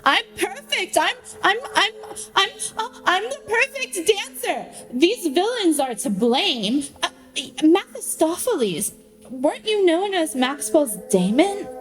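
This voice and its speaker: A woman playing a proud, flustered star dancer in a radio play, indignant and halting, repeating herself. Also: extremely high pitch, a bit bright, heavily acted. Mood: flustered, wounded. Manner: halting, repetitive, theatrical.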